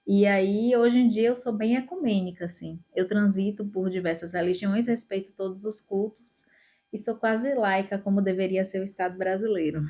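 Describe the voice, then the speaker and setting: A middle-aged woman from Salvador, a police chief telling her life story as an interview guest in a lively, chatty way. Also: medium pitch, moderate pace. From Salvador, Bahia, Brazil. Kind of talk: interview answers, casual storytelling.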